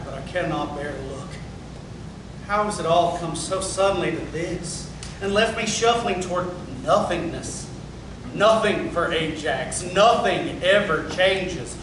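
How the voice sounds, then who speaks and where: An actor playing an anguished, ashamed working-class husband and father in a staged dramatic reading, halting and brooding. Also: pushed and bright. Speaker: actor at a play table reading. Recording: echoey room from far off, noisy rumble.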